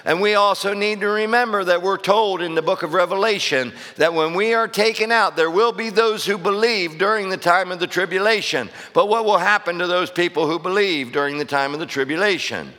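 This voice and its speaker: Male preacher, a bit high and hoarse, calling out to the pews, booming in a reverberant sanctuary. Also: brisk, hardly any pauses, bright, forceful tone. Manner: hollering, call-and-response preaching. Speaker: pastor. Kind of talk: fiery sermon. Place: church sanctuary. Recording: long reverb of a church hall.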